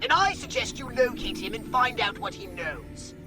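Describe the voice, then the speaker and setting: A man's voice: very high voice, big pitch swings, normal speed, over music. A man voicing a fussy, irritable old alien mentor in a video game, with pedantic, exasperated delivery. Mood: fussy, lecturing. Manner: exasperated, pedantic, formal diction. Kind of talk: video game cutscene acting.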